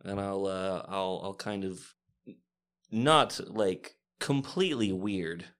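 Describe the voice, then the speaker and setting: A man playing a tabletop game, talking casually and halting as he searches for words. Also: low voice, fairly bright tone. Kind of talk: casual in-character roleplay and chat.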